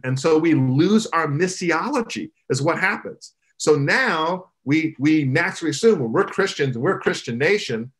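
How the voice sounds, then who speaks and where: A middle-aged man, a pastor, speaking emphatically in an animated panel discussion over a video call from his home. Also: a little high. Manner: emphatic preaching cadence, gestures broadly. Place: home room, indoors. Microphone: video call, webcam from below.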